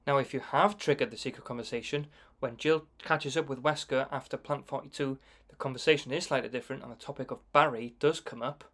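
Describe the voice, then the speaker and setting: A man's voice: medium pitch, fairly even intonation, a little fast, few pauses, fairly bright tone. A man with a middle-range voice narrates as a video game commentator. His delivery is conversational and informative, explaining things as he goes.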